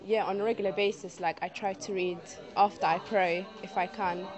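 A woman at the event as a spectator, answering interview questions softly, with frequent ums and likes. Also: medium pitch, steady tone, fairly quick, music in the background. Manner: soft-spoken, with many ums and likes. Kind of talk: vox-pop interview answers. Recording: crowd chatter behind.

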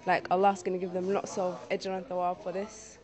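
A woman at the event as a spectator, answering interview questions softly, with frequent ums and likes. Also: on the low side, monotone, brisk, over music. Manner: soft-spoken, with many ums and likes. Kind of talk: vox-pop interview answers. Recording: crowd chatter behind.

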